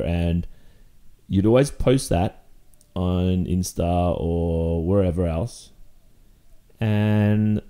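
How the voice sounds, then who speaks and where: A man playing a jealous young Australian boyfriend in an intimate ASMR roleplay. His voice is low and soft-edged, dark and mellow. He confronts his girlfriend haltingly, hesitating as he airs his grievances.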